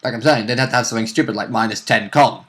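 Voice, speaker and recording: Bright-toned man chatting as co-host. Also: mid-range voice, racing. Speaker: co-host of a tabletop role-play show. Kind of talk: casual banter and game commentary.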